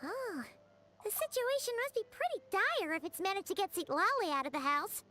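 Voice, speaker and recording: A chirpy little game companion in a very high, bright, bouncing voice. She sounds bubbly and teasing, with faint music behind her. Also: expressive, normal speed, heavily acted. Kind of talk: video game voice acting.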